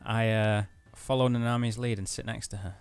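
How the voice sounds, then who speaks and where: A man's voice: fairly low pitch, fairly even intonation, brisk, clean tone, soft background music. A man co-hosting a let's play, casually commenting and reading game text aloud.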